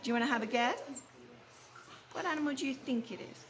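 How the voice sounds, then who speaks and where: A woman kindergarten teacher reading a storybook aloud to young children, prompting them with questions and repeating deliberately. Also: sits high. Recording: faint background room noise.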